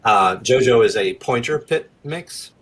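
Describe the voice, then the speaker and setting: A man's voice: mid-range voice, lively intonation, a little slow. A man talking casually as an interview guest, in an easygoing way with conversational asides. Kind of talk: casual chat.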